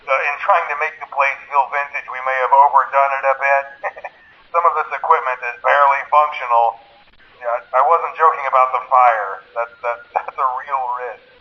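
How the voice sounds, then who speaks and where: An actor playing an excitable young slacker dude who leaves slangy, casual briefings in a horror game, heard through a phone filter. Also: male voice, mid-range voice, normal speed. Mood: upbeat, joking. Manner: laid-back, slangy surfer-dude talk. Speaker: horror attraction employee leaving phone messages. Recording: muffled lo-fi phone message.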